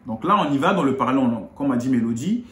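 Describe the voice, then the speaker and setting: A man from Congo explaining Bible verses in a relaxed, conversational way, rising in pitch on key points. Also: fast talker, a little hiss or hum. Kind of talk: explaining scripture in casual chat. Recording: echoey room.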